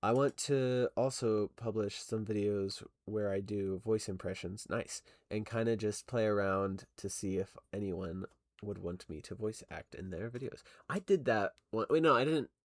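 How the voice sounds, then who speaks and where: Young man, low voice, casual rambling livestream chat, lots of "like", dry close sound. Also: moderate pace, no room sound. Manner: rambling, lots of fillers, laughs often. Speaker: stop-motion animation streamer.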